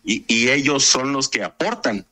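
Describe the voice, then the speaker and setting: A man's voice: medium pitch, expressive, unhurried, bright, forceful tone. A man in his fifties with a Mexican accent, a field reporter chatting with the host and signing off his report. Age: 50s. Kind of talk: news report and chat.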